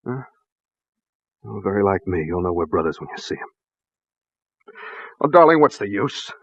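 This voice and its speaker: A man playing a grave, haunted husband in a 1940s radio drama, with a measured, formal, slightly husky delivery. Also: lowish voice, very animated, no room sound, exaggerated voice. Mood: brooding, troubled. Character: grave, secretive husband haunted by his mad brother. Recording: dull, dry vintage radio recording.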